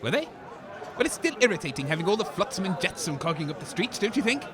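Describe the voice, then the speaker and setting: A man's voice: high voice, very animated, quick pace, over a noisy crowd, heavy background noise. A man playing a grumpy, scheming radio co-host in a comedy broadcast, sneering and grumbling theatrically.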